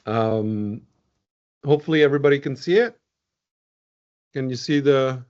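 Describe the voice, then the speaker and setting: A man's voice: mid-range voice, moderate pace, a little mellow. A man from Venezuela, a scientist presenting results in a casual webinar, talking loosely with many ums and restarts. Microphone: video call. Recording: muffled video-call audio.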